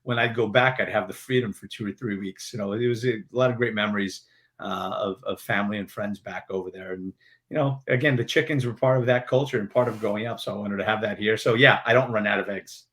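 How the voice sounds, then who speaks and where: A man from Portugal, a guest on a podcast, telling stories in a friendly, relaxed way. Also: lowish voice, fast talker. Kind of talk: casual interview chat.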